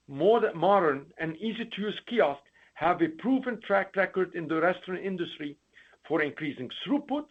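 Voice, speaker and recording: Slightly muffled, lo-fi call audio carries a man with a husky, fairly high voice. He delivers scripted executive remarks in a formal, read-aloud way. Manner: formal, reading prepared remarks with occasional stumbles. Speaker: company chief executive. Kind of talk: scripted earnings call presentation. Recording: dull, lo-fi conference call audio.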